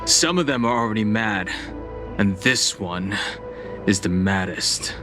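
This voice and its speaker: An actor playing a matter-of-fact soldier in a video game, reporting in military fashion. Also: male voice, on the low side, unhurried, music in the background, lightly acted. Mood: grim. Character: brisk male soldier reporting on prisoners.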